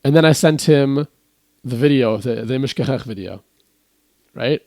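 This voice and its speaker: A man's voice: mid-range voice, moderate pace, no room sound. A young American man, a musician guest on a podcast, telling a story casually, often restarting and acting out what others said. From United States. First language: English. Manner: rambling, with frequent restarts and animated quoting.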